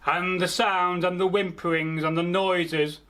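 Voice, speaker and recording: A man telling a folktale aloud as a storyteller, theatrical, pausing mid-phrase and speaking directly to his listeners. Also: high voice, little pitch movement, bright, forceful tone. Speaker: storyteller, channel host. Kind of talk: oral folktale storytelling, improvised.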